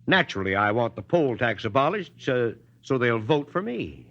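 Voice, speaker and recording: An actor playing a principled, weary small-town Texas mayor in a folksy, drily ironic American manner, in 1940s radio drama style. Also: male voice, mid-range voice, big pitch swings, normal speed, a bit bright. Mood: pointed irony. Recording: dull, lo-fi 1940s radio recording.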